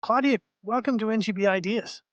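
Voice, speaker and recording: A man's voice: sits high, fast, dry recording, highs cut off. A man hosting a podcast, asking friendly, curious questions. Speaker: podcast host. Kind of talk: interview conversation.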